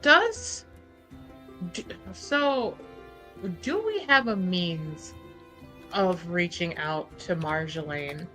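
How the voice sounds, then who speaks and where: A woman playing a tabletop roleplaying game, talking casually and deliberately as she reasons aloud. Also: on the low side, expressive, sharp tone, faint music behind.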